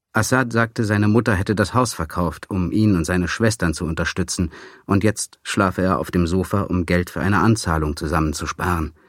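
A very low-voiced man narrating a memoir with a dry, sardonic, sometimes crude manner. The recording is close and dry, with no room sound. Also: no room sound.

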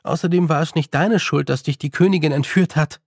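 A man performs a young girl for an audiobook. She is determined and careful, speaking with dry insistence. The pitch is lifted and the tone a little husky, in a very dry recording. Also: brisk, no room sound. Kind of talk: audiobook character voice.

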